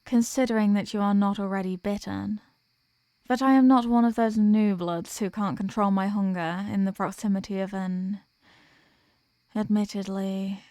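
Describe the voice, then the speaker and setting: A woman playing a dominant, condescending elder vampire in an ASMR roleplay, with teasing, formal, deliberate diction. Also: mid-range voice, a little mellow, no room sound, studio quality, lightly acted. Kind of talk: ASMR roleplay audio drama.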